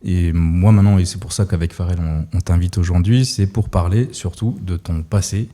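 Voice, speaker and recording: A man's voice: low-pitched, normal speed, warm tone. A man hosting an interview podcast, asking friendly, somewhat hesitant questions. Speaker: podcast host.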